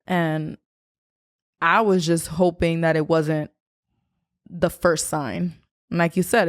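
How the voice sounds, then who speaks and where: A woman's voice: low voice, no room sound, clean studio sound. A woman co-hosting a podcast in casual chat, supportive and chiming in often with short affirmations. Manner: supportive, frequent affirming interjections. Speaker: podcast co-host.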